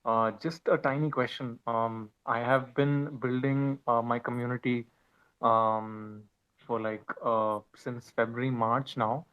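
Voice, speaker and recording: Through a dull, low-fidelity connection, a man with a smooth, medium-pitched voice joins a live panel from the audience. He speaks haltingly, with a hesitant, casual delivery. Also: fairly even intonation. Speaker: audience speaker asking a question. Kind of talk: casual spoken question on a live online panel. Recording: dull low-fidelity online call audio.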